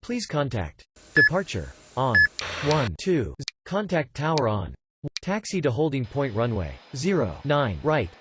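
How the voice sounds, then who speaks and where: A man's voice: medium pitch, sing-song, measured pace. A man speaking as an air traffic controller in clipped, scripted radio phraseology.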